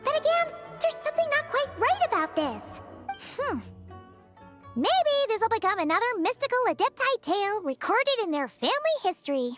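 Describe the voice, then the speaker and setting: A woman voicing a tiny, excitable, inquisitive fairy companion with a squeaky childlike voice in an anime game. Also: moderate pace, heavily acted. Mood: doubtful. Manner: speaks of self in third person, exclamatory. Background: game music.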